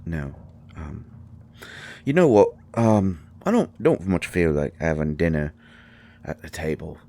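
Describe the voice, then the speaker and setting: Gruff folksy male character, acted by a man, bass-low and slow, stammering, for intimate roleplay audio drama. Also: expressive, mellow, a little hiss or hum, heavily acted. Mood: awkward. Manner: halting, stammering, folksy colloquial speech. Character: gruff, folksy, flustered man comforting a vampire guest.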